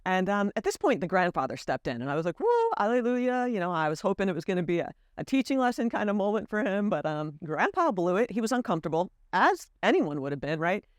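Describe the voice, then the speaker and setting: A woman's voice: mid-range voice, quick pace, hardly any pauses, no room sound, clean studio sound. An American woman telling a personal story to camera in an earnest, candid way. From United States. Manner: earnest, candid, lively emphasis. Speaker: vlogger.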